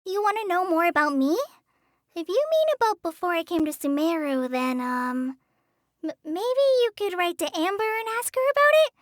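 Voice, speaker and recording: Timid teen girl game character, really high voice, sweet-natured n hesitant, sorry about everything, super dry recording. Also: very animated, no echo. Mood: flustered. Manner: hesitant, apologetic, soft-hearted, halting with stammers. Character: shy, earnest teenage girl, trainee forest ranger. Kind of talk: video game character voice lines.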